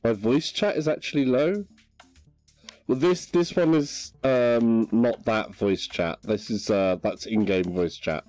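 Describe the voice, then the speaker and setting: A man chatting casually on a VR game livestream, relaxed and hesitant, with many ums. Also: mid-range voice, a little slow, no echo, soft background music. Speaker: livestream gamer.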